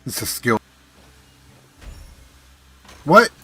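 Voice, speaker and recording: A man's voice: drawn-out words, a bit bright, no room sound. A man casually commentating on a game live, playfully talking to himself. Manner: talks to self, playful. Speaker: game streamer. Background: game music.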